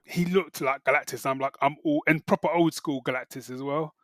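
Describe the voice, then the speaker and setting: A middle-aged British man chatting casually as a podcast co-host, halting and correcting himself as he goes. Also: on the high side, fairly bright tone, no room sound. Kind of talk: casual chat, geek reviews.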